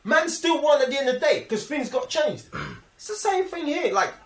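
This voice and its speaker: A man with a London accent co-hosting a slangy, casual film discussion, expressive and often checking that he is understood. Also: very high voice, normal speed. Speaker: film review podcast co-host. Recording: echoey room, distant microphone.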